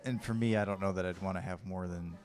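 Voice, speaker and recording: A man's voice: deep voice, steady tone, fast, a little mellow. A man giving casual, matter-of-fact live race updates as an official and co-commentator.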